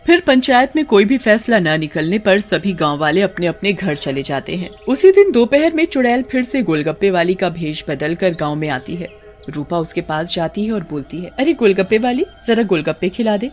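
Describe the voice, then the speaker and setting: A woman's voice: fairly low pitch, lively intonation, moderate pace, faint music behind. A woman storyteller giving scripted narration of a horror folk tale.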